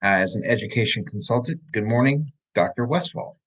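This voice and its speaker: A man's voice: medium pitch, animated, brisk, a bit bright, poor audio. A man hosting a casual podcast over a video call, telling joking, rambling stories. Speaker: podcast host. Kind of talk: casual chat. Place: room at home.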